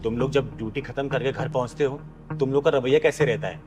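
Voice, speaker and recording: A man's voice: medium pitch, quick pace, over music, close to an everyday voice. A man playing a stern, motherly kinnar elder sister who lectures and commands in a Hindi moral drama. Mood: stern questioning. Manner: preachy, commanding, rhetorical questions. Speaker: lead performer in moral drama sketch.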